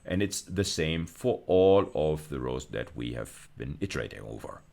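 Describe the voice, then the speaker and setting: A man with an exceptionally deep voice delivers an instructional lecture. He is deliberate and emphatic, often repeating a phrase or rewording it as he explains. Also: animated, gravelly, darkish tone. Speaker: university lecturer.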